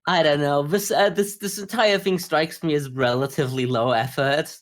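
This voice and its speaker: A man co-hosting a podcast, giving a casual review in a measured, analytical, conversational way. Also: a little high. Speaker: podcast co-host. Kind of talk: casual anime review discussion.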